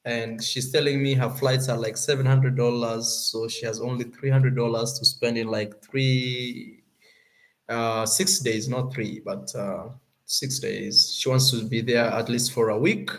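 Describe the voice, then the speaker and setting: A young Kenyan man with a Kenyan accent, talking casually to his viewers as a YouTuber, animated and often searching for words. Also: mid-range voice, unhurried. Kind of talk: casual vlog talk to camera.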